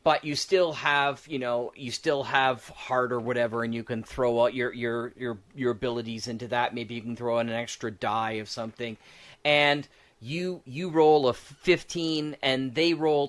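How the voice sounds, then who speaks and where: A man in casual podcast conversation, with a middling pitch. He rambles and thinks aloud, working through game design as he talks. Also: a bit bright. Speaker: podcast co-host.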